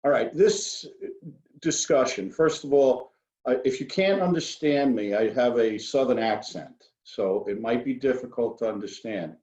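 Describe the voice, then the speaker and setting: A man's voice: medium pitch, unhurried, darkish tone. A man with a New York accent giving an informal financial seminar talk as a guest speaker, with folksy, joking asides. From Queens, New York. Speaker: guest speaker, wealth management expert. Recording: dull lo-fi audio, roomy echo.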